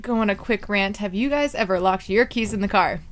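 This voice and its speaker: A woman co-hosting a casual gaming podcast, ranting about her day and laughing often. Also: medium pitch. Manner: chatty, laughs often, quick rants. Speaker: podcast co-host.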